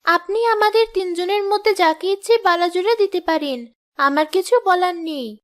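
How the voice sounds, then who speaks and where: A woman's voice: very high voice, moderate pace. A woman voicing a deferential young daughter-in-law, eager to please, in a fairy-tale cartoon. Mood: meek.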